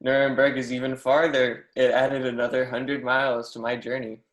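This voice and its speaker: A man's voice: medium pitch, level tone, moderate pace, a bit bright, lightly acted. Man playing an eager young scholar, echoey room. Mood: eager, earnest. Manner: earnest, effusive, apologetic, formally polite. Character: eager, deferential young German mathematics professor, flustered admirer. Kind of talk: readers theatre performance of a history play.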